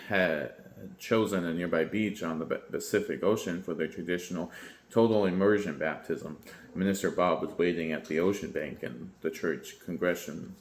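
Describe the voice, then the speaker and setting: A man with a very low voice reads a book aloud at a steady pace. He sometimes trips over a word and backs up to fix it. The sound bounces around a bare room. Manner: steady read-aloud, stumbling and correcting himself mid-line. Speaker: YouTuber reading a book aloud. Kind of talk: reading a book aloud, then casual commentary. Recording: echoey room.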